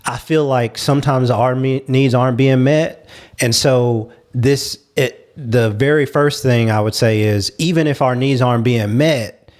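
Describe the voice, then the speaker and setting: Male talk show panelist, mid-range pitch, candid discussion, agreeable, explanatory, long-winded points. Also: a little slow, a little mellow.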